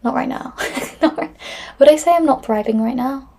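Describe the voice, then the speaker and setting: This is a woman in her twenties talking through listener questions on her podcast. Her voice sits a touch high and carries a gravelly fry. She rambles, fills gaps with "like" and "um," and restarts her thoughts often. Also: moderate pace, mellow. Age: 20s. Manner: rambling, many fillers and restarts, laughs often. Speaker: podcast host. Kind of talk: casual solo chat answering listener questions.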